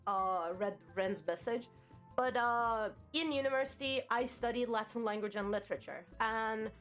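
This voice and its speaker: Chatty Turkish-born woman streamer, higher voice. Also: a bit bright. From Turkey. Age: 30s. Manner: rambling, chatty, self-mocking, swears casually. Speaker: VTuber streamer. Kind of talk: casual livestream chat.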